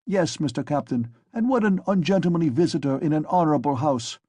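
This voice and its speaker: A man voicing a flustered, fawning middle-aged German landlady who patters quickly in a put-on German accent. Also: on the high side, animated, mellow, slightly rough, no room sound, clean studio sound, voice pushed up. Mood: fawning protest. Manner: rapid pattering, obsequious, repeating.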